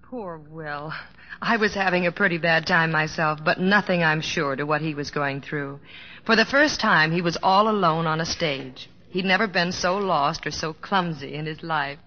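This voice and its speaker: Old radio drama: loving wife and wistful narrator, lowish bright voice. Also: female voice, moderate pace. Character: gentle, devoted wife narrating her comedian husband's struggles. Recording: dull old radio recording, music behind.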